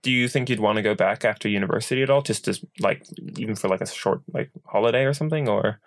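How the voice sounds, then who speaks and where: A young podcast host chats casually with a guest, asking rambling, friendly questions. The voice sits in a middle pitch and laughs come easily. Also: fast. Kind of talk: casual interview chat.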